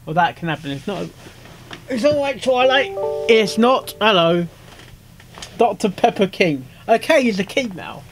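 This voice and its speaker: Male reaction host: casual, halting, repetitive, animated, somewhat high voice. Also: soft background music. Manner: stammering, repeats words and interjects short asides.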